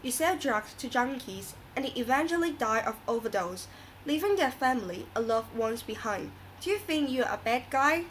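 A woman's voice: on the high side, lively intonation, normal speed, slight background noise, natural voice, rough recording. A woman playing an earnest, moralizing talk show host in a scripted radio drama, reading didactically.

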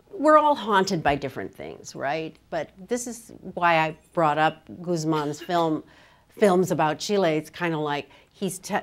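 An older woman, a writer, talking casually and emphatically in an onstage literary conversation, repeating phrases to make her points. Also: lowish voice. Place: on stage in an auditorium before an audience.

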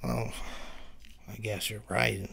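A meek, wounded man, acted by a man in a dramatic reading, defending himself against his own reflection. He is hesitant and stammering, with a slightly low, creaky voice. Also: level tone, slow, pushed and bright, heavily acted. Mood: pleading.

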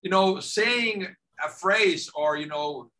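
Bright-voiced man from Finland. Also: high voice, slow, low-quality recording. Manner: lively, repeats words when starting sentences. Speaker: guest. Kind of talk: casual video-call interview. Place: home office. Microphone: video call.

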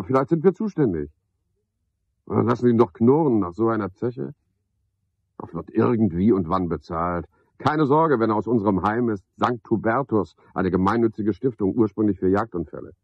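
Radio drama night porter: husky male, sardonic, clipped, muttering to himself, roomy slightly muffled sound. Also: fairly low pitch, expressive, normal speed. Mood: dry, officious. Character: cynical, chatty night porter, muttering grim calculations. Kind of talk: 1970s German radio play monologue.

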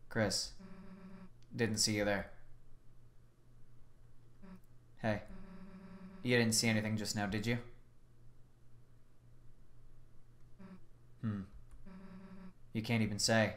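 A woman voicing a sullen, menacing teenage monster girl in a video game, speaking in a quiet, threatening sneer. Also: very deep voice, slow pace, pauses often, slight background noise, voice pushed down. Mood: quietly menacing.

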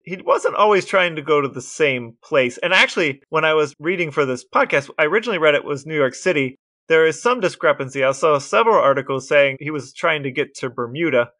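A man co-hosting a podcast, telling a story in a lively, wry way in casual chat. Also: a little high, brisk. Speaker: podcast co-host.

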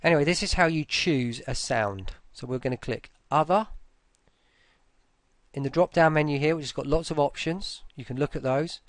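A man talking through a casual screen-recorded software tutorial, informally, with muttered asides to himself. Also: on the high side, moderate pace, no room sound.

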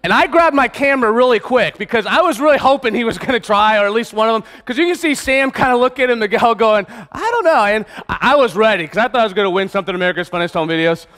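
A man's voice: high-pitched, expressive, fast, few pauses, fairly bright tone. A man in his thirties preaching a sermon on a church stage, earnest and emphatic, drawing out key words and restarting phrases. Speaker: pastor. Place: church stage in a large hall. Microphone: stage mic, far camera. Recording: reverberant church hall.

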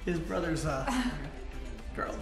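An actor playing a brash, loud party guest who mocks the other guests and laughs at his own jokes. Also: a little high, slow, creaky, heavy reverb, recorded from a distance, over loud music. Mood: teasing. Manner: mocking, loud, laughing jabs. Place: house party indoors.

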